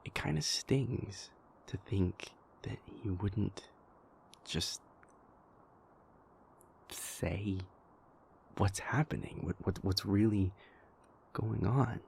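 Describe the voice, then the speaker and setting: A man playing a wounded, devoted vampire prince in an intimate audio roleplay, speaking haltingly with repeated words in a husky, gravelly voice. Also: on the low side, darkish tone, slight background noise, exaggerated voice. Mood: hurt, frustrated.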